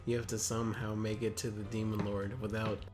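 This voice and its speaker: Young man, lowish voice, casual co-host, skeptical hesitant asides, faint guitar music behind. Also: monotone, brisk. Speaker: co-host reading a wiki aloud with commentary. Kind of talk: casual chat and read-aloud.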